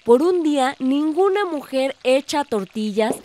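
An actress with a Mexican accent playing a bold, idealistic young daughter in a radio drama. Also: female voice, sits high, animated, measured pace, dark tone. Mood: eager, defiant.

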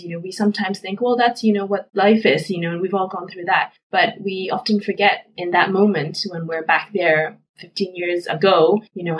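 A woman's voice: on the low side, recorded from a distance, rough recording. A woman in her thirties, raised in West Africa, an NGO director answering interview questions earnestly and reflectively. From grew up in Ghana, West Africa. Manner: earnest, reflective, with frequent "you know". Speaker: executive director of a youth NGO.

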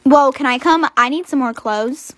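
A woman's voice: sits high, moderate pace, a bit bright. A woman voicing a put-upon but hopeful teenage girl, earnest and given to complaining. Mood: sulky.

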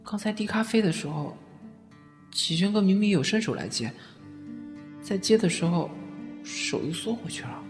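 A man's voice: sits high, expressive, normal speed, long pauses, faint music behind. A man acting as a young rookie singer in a radio drama, timid and apologetic, speaking hesitantly. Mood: troubled, self-blaming. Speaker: voice actor.

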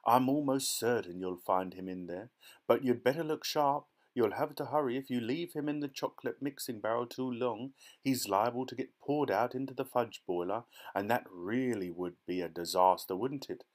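Bloke doing an eccentric gleeful chocolate maker from a storybook, breezy, emphatic, very theatrical. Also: male voice, medium pitch, normal speed, natural voice. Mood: brisk ordering. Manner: emphatic, blithely reassuring, theatrical. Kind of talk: children's storybook character voice.

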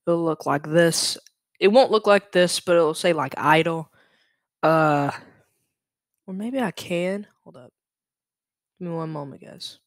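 A young man talks through a software tutorial at a slow pace. His delivery is informal and halting, as he thinks aloud, and the sound is dry and close, with no room echo. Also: a little high. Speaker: tutorial presenter. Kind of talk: casual screen-recorded software tutorial.

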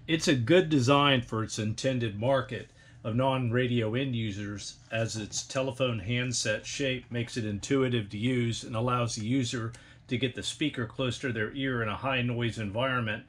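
A middle-aged American man narrating an instructional bench review in a measured, precise technical manner. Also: mid-range voice, steady tone, slightly rough. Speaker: host, radio equipment reviewer. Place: indoor electronics workbench.

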